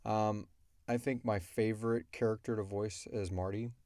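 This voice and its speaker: A man's voice: on the low side, fairly even intonation, moderate pace, mellow. A man hosting a podcast in a casual, chatty style. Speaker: podcast host and voice actor. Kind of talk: casual chat. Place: recording booth.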